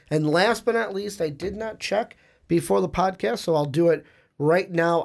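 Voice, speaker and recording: A young man hosting a sports podcast, talkative and upbeat, sounding nasal and stuffy from a cold, speaking into a studio microphone. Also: a little high. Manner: upbeat, talkative, reads plugs. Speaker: podcast host.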